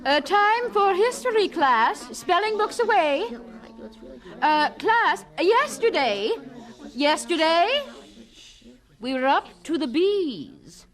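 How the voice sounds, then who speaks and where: Very high-voiced, prim schoolmarm. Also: female voice, sing-song, slow, a bit bright, exaggerated voice. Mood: brisk, searching. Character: prim, fussy, firm schoolteacher woman. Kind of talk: radio drama acting.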